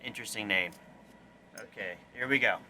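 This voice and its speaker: A man's voice: fairly low pitch, moderate pace. A man interviewing a filmmaker, making short offhand remarks. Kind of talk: casual interview chat. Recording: loud background noise.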